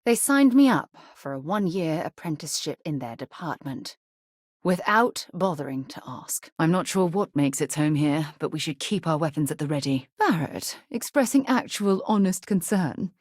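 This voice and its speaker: A woman voice-acting a composed, principled space explorer and former military leader in a video game, speaking in an earnest, articulate, measured way. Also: low voice, expressive, darkish tone, no room sound, clean studio sound.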